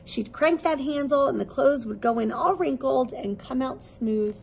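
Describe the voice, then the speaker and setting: A woman preschool teacher reading a children's book aloud expressively, with simple, deliberate questions for young listeners. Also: a little high, gravelly, darkish tone, a little hiss or hum, poor audio.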